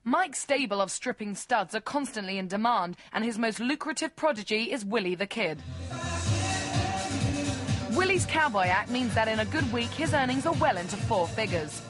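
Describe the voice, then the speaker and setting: A woman's voice: fairly high pitch, expressive, moderate pace. A woman narrating a documentary voice-over in a wry, lightly teasing way. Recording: music bed under the voice.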